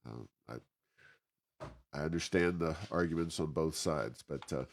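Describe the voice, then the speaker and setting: An American man hosting a conversational interview, speaking in a halting, roundabout way with many hesitations. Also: a bass voice, gravelly. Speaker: interview host.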